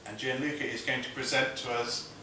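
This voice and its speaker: A man acting as conference moderator, introducing a speaker in a measured, formal way. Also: mid-range voice, level tone, noisy background. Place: large conference hall. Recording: echoey hall, mic far off.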